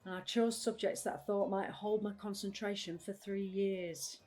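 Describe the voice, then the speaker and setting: A woman's voice: medium pitch, fairly even intonation, moderate pace, a little mellow, soft background music, close to an everyday voice. A woman acting in an audio play as a restless, literal-minded, blunt adult woman with a matter-of-fact way of talking. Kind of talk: audio drama dialogue.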